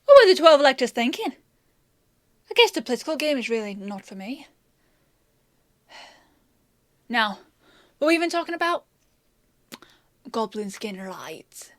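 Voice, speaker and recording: Woman doing a gruff but kind fantasy apothecary lady, highish voice, stammery and emotive, really dry close sound, audio roleplay. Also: sing-song, moderate pace, pauses often, no echo. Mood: tense. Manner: hesitant, stammering, emotive, conversational. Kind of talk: intimate scripted audio roleplay monologue.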